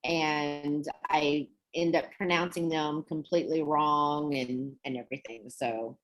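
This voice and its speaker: A young adult woman teaching an online English class, explaining words in a chatty, relaxed way and laughing often. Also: low voice, measured pace, lo-fi sound.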